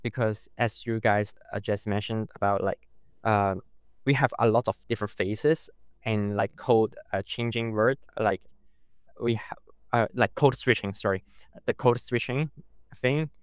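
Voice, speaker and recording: A man from Hong Kong with a Hong Kong accent, a guest on a podcast speaking English as a second language, talking haltingly with many fillers and restarts. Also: on the low side, fairly even intonation, clear, resonant tone, dry recording, clean studio sound. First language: Cantonese. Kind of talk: casual interview talk.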